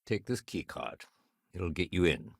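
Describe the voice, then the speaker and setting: A man playing a steady, dutiful security sergeant in a video game, speaking conversationally with official firmness and dry wit. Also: deep voice, unhurried, creaky, dry recording, full character voice. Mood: instructing. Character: steady, dutiful male spaceport security sergeant, dryly wry.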